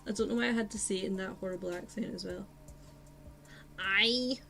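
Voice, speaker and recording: A woman's voice: medium pitch, fairly quick, gravelly, sharp tone. Chatty Glaswegian woman streaming while painting. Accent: posh Glaswegian Scottish. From Scotland. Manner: chatty, self-mocking, rambling asides to chat. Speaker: art streamer. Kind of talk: casual live-stream chat while painting. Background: faint lo-fi music.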